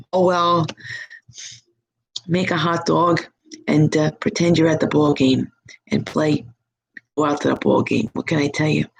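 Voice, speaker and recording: A hoarse, husky middle-aged woman hosting a live stream as a psychic reader, chatting in a rambling, upbeat way from her home. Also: sits low, slight background noise, rough recording. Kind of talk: casual live-chat monologue.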